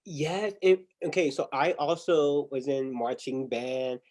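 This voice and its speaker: A man's voice: medium pitch, steady tone, takes it slowly, darkish tone. A young man hosting a livestreamed interview on a video call, chatty, often repeating words as he restarts. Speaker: interview host.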